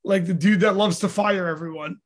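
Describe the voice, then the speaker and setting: High-voiced New man chatting. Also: fairly even intonation, brisk. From New York. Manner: rambling, swears freely, acts out his own quotes. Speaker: podcast host, mixed martial arts fighter. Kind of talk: casual profane chat.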